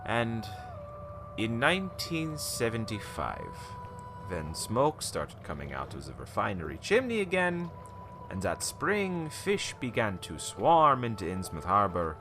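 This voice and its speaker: An actor playing a haunted, bitter old small-town shopkeeper in a radio play, telling an ominous tale haltingly with theatrical rhetorical questions. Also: male voice, lowish voice, huge pitch swings, background noise, exaggerated voice. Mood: grim, knowing. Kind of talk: dramatic radio play monologue.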